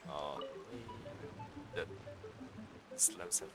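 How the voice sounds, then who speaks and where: Low-voiced male streamer over loud music. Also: lively intonation, slow pace. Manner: muttering to himself, thinking aloud. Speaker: game streamer. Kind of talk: casual live let's-play commentary. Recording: loud game music underneath. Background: loud game music.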